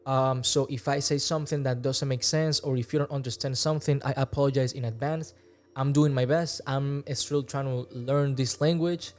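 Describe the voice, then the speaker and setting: A man's voice: medium pitch, moderate pace, soft background music. A man in his twenties from Venezuela with a Venezuelan Spanish accent, talking earnestly to camera in his second language, close to a studio mic in a small room. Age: 20s. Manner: relaxed, earnest, gestures with hands while talking. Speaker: fitness vlogger. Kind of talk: casual talk to camera. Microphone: large studio mic close in front.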